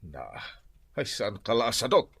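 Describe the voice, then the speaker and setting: A man's voice: mid-range voice, big pitch swings, moderate pace, vocal fry, a bit bright, husky, dry recording, full character voice. An older man with an Ilocano accent playing an indignant, nagging father-in-law who scolds in a radio drama. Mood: reproachful.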